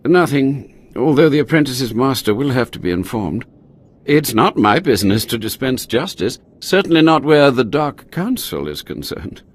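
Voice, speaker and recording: Scheming game villain, haughty Sith inquisitor, theatrical, drawn-out condescension, very animated middle-pitched voice. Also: male voice, breathy edge. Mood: dismissive. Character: haughty, scheming male Sith inquisitor, self-preserving overseer of trials. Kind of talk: video game voice acting.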